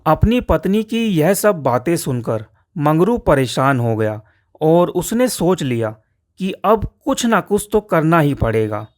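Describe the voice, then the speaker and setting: A man's voice: a little high, big pitch swings, a little slow. A man narrating a children's cartoon story in a scripted storybook style. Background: soft guitar music.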